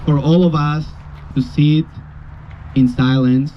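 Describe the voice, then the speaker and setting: A man's voice: fairly high pitch, fairly even intonation, takes it slowly. A man from Colombia with a Colombian accent and Spanish as his first language, giving an informal, personable speech as a community organizer. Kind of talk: informal public rally speech. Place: outdoor rally near a road. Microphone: handed-around PA microphone. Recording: dull lo-fi audio, faint vehicle noise.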